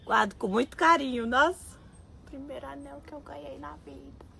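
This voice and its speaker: A woman's voice: high-pitched, moderate pace, vocal fry, sharp tone. A woman in her thirties chatting playfully on social media, gushing and joking. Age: 30s. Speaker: reality show participant. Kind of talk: casual social media video.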